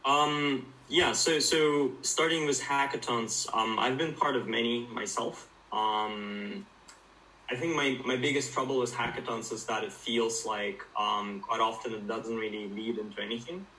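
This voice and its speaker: Young man from Ukraine, bright distant voice. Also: mid-range voice, fairly even intonation, unhurried, slight background noise. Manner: hesitant, many fillers and restarts, thoughtful. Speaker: guest, startup founder. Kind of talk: casual interview conversation.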